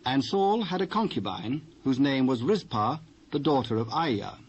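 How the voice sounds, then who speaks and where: A man's voice: medium pitch, expressive, husky. British male scripture narrator, muffled old tape. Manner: measured, formal storytelling. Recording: dull, hissy old cassette transfer.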